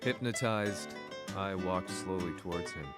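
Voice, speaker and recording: Brooding low-voiced male investigator narrating. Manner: measured, grave storytelling. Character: brooding male investigator recounting his obsessive search. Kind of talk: scripted dramatic narration. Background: loud plucked guitar music.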